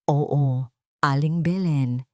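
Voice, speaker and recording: A woman's voice: deep voice, very slow, no echo, clean studio sound, voice pushed down. A woman voicing a scheming young female villain in an audio story, silky, insinuating and manipulative. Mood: gloating, conspiratorial.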